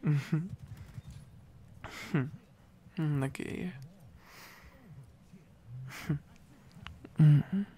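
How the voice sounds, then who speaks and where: A man's voice: a little high, a little slow, warm tone, a little hiss or hum, close to an everyday voice. A man playing a needy, silly, affectionate boyfriend in an intimate ASMR roleplay, rambling and teasing playfully. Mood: tender, teasing.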